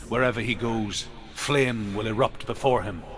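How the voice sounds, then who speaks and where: An actor playing a grave storyteller of Norse legends in a video game, measured and ominous. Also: male voice, lowish voice, steady tone, fairly bright tone, close to an everyday voice. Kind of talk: mythic storytelling narration in a game.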